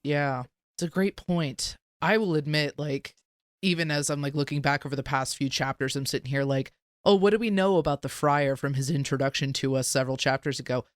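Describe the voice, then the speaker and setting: A man co-hosting a podcast, chatting casually and recapping in a smooth, clear voice. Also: on the high side, a little fast, dry recording, studio quality. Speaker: podcast co-host. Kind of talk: casual chat with recap narration.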